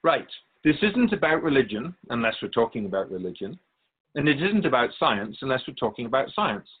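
A man playing a serene, wise otherworldly keeper of souls in a radio drama, speaking in a measured, formal, instructive manner. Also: mid-range voice, very animated, husky, narrow, tinny audio. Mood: solemn, assured.

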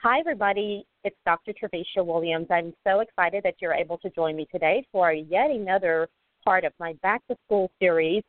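Chatty lady host, lowish voice, tinny phone sound. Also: brisk, no echo. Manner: upbeat, chatty, many fillers. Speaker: talk show host. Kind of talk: casual online radio interview. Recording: dry tinny phone line.

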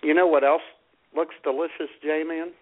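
A man's voice: fairly high pitch, flat delivery, normal speed. Easygoing man on a phone-like radio link. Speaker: radio show co-host. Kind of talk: casual comedic banter. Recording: thin phone-like internet radio audio.

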